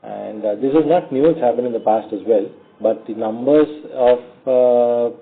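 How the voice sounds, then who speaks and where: A man with an Indian accent speaks as a company executive answering questions on an earnings call, in a halting, measured way with frequent pauses. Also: medium pitch, gravelly. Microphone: conference call phone line. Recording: tinny narrowband phone line.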